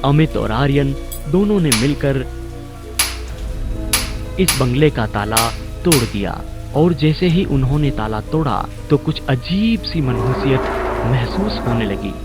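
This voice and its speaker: A man's voice: mid-range voice, lively intonation, unhurried, long pauses, over loud music. A man narrating a scripted spooky story as a storyteller.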